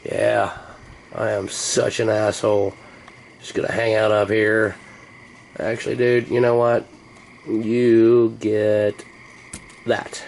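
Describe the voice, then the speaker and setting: A man's voice: fairly low pitch, little pitch movement, takes it slowly, gravelly, pauses often, darkish tone, slight background noise, rough recording. A man from the United States chatting casually as he vlogs about his hobby, in a playful, slangy and mildly profane way. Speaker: hobbyist vlogger. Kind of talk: rambling casual chat to camera.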